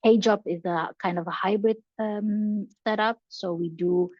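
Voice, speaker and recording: A woman's voice: medium pitch, fairly even intonation, a little slow, dry recording. A young woman from Indonesia, a podcast guest speaking over a video call, answering thoughtfully with frequent fillers and restarts. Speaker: podcast guest, learning and development professional. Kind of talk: casual interview answers. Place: bright room with large windows. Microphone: headphones on a video call. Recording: dull, lo-fi video call audio.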